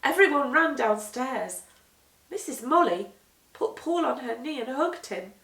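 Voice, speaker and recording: A woman reading a children's story aloud with expressive, dramatic emphasis, moving between hushed and swelling delivery. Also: sits high, unhurried. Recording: echoey room, distant microphone.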